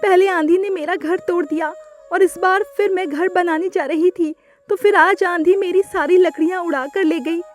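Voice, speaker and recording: A woman plays an anxious mother bird from a children's cartoon. The voice is very high and plaintive, lamenting her troubles. Music plays behind her. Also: steady tone, normal speed. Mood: tearful despair. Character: anxious, tearful mother bird, grateful and proud.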